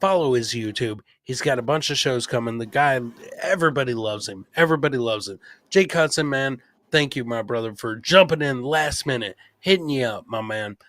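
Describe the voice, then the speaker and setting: A man hosting a casual livestream podcast, effusively plugging and shouting out his guests with profane, brotherly familiarity. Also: medium pitch, animated, a little fast, no room sound. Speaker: podcast host. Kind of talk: casual livestream chat with plugs and shout-outs.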